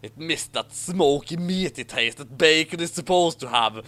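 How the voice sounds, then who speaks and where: A man narrates a story in a highly animated, fairly high voice. His reading is expressive and sardonic, and he often steps out of it to comment. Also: moderate pace. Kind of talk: story narration with mocking asides.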